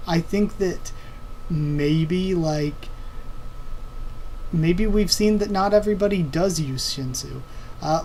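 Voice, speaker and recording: A man hosting a casual online Q&A, talking informally and haltingly as he thinks aloud, his voice a little strained and tiring. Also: fairly high pitch, warm tone, a little hiss or hum, poor audio. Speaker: online video host. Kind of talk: casual Q&A commentary on anime and comics.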